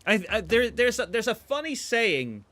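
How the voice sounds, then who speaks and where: A man's voice: very high-pitched, big pitch swings, normal speed, bright, forceful tone, dry recording. Man, game streamer, joking, chatty, dry close sound. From Norway. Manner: joking, chatty, reads chat aloud. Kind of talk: casual live-stream chat while gaming.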